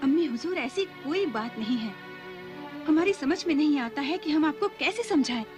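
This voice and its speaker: High-voiced headstrong young noblewoman. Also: expressive, normal speed, over music. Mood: defiant, pleading. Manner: dramatic, courtly. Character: proud, headstrong young noblewoman, romantic dreamer. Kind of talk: formal Urdu-flavoured period serial acting.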